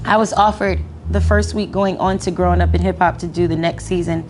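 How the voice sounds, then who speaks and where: A young adult woman talking casually as an interview guest, animated and full of slang, laughing often. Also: fairly low pitch, fairly quick. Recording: noisy background.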